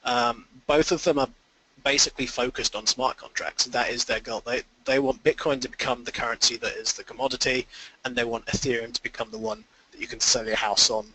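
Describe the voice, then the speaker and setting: A man's voice: mid-range voice, fairly even intonation, a little fast, pushed and bright, slightly rough, low-quality recording. A man giving informal, explanatory answers as an investor guest in a conference call interview.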